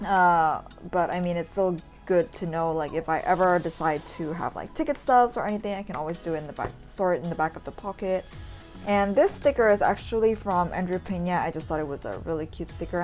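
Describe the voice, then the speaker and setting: A woman from Indonesia talks in a slightly low voice with soft music playing behind her. Her delivery is informal and chatty, like a vlogger recording a casual voice-over tour. She rambles loosely from one thought to the next. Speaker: vlogger, urban sketcher. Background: background music.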